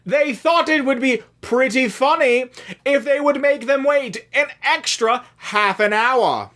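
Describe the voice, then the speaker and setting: A woman narrating a comedy radio play in a playful, mock-grand and deliberate storybook manner. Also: high voice, fairly bright tone.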